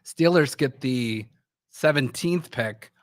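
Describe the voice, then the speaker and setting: A man's voice: mid-range voice, slow pace, no room sound, clean studio sound. A man hosting a sports talk show, with a scratchy voice from a sore throat, rambling with frequent restarts. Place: small studio.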